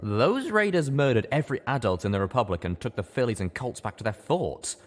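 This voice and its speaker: A man's voice: medium pitch, lively intonation, fairly quick, pitched above the performer's own voice, lightly acted. A man voicing an enthusiastic young mare, a plucky wasteland rescuer in a story reading. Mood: excited, fierce.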